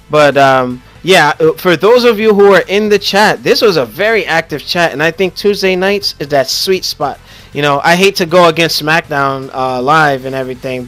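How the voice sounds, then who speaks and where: Haitian-accented man, podcast host, fairly high voice, loud joking ramble, faint music underneath. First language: Haitian Creole or French. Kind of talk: casual gaming podcast chat.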